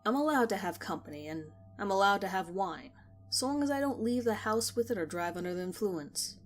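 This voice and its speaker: A woman voicing a guarded, blunt, sharp-tongued teenage girl in a horror story reading. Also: medium pitch, expressive, brisk, faint music behind, close to an everyday voice. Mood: matter-of-fact. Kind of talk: acted dialogue in horror audiobook.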